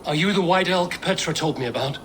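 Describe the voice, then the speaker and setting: An actor playing a steadfast, wary and devout Viking warrior in a video game, measured and earnest. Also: male voice, on the high side, a bit bright, a little hiss or hum, lightly acted. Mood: respectful, earnest.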